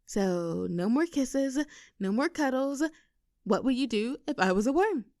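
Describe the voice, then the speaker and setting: A woman's voice: a little high, lively intonation, unhurried, warm tone, no echo, studio quality. A woman playing an affectionate, teasing young girlfriend in an intimate roleplay audio, coaxing and giggly in a conversational way. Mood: playful, mock insistent. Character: playful, clingy, affectionate young girlfriend teasing her partner.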